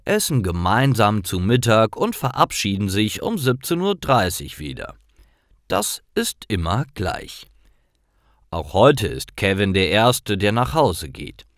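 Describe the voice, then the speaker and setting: A man narrating scripted stories for language learners in simple, clear sentences. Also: on the low side, no room sound, clean studio sound.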